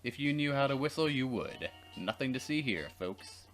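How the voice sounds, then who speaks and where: A man's voice: mid-range voice, sing-song, moderate pace, faint music behind. A man doing a let's play, narrating dramatically and slipping into casual, jokey banter. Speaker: let's player reading a visual novel aloud.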